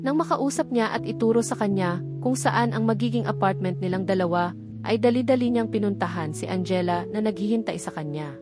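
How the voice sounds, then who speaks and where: A woman's voice: medium pitch, brisk. Woman, Filipino accent, audiobook narrator, scripted romance storytelling, mid-range voice, prominent background music.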